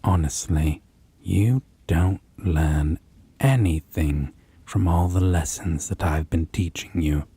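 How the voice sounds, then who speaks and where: A predatory, possessive vampire, acted out by a man in an audio roleplay, with a deep, dark voice full of breath and husk. He taunts the listener in extremely slow, drawn-out phrases, slipping into whispers, menacing and domineering. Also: heavily acted. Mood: threatening, angry. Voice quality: husky, breathy, low.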